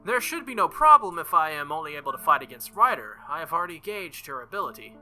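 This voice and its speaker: Guy reading as a proud calm lady knight, stiff formal wording, bright voice, visual novel. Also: on the high side, fast talker, soft background music, full character voice. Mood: assured.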